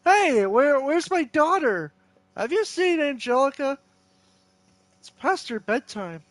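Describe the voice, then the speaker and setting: A woman's voice: high voice, big pitch swings, slow, dry recording, pitched above the performer's own voice, full character voice. Woman doing a puzzled goofy dad. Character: clueless adult dad looking for his daughter.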